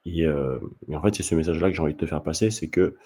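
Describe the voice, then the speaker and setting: A man who hosts his own channel, giving casual spoken commentary straight to the viewer, often halting and restarting mid-sentence. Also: a bass voice, dark tone, breathy edge. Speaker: table tennis coach and channel host.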